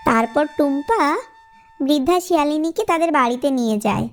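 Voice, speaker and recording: A woman with a high voice narrates a children's fairy tale. It is scripted storytelling with music playing behind her. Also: a little fast.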